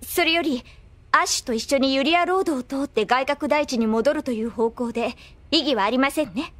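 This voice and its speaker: A woman's voice: high voice, a little fast, fairly bright tone, dry recording. A woman playing a commanding, genteel young noblewoman with formal, insistent speech in a Japanese anime audio drama.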